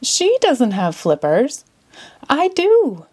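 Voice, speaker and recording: A woman's voice: sits high, wildly swooping pitch, takes it slowly, a little mellow, breathy edge, voice pushed up, full character voice. A woman voicing a blunt, assertive female seal in a storybook. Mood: indignant. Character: assertive female seal in a magic show audience.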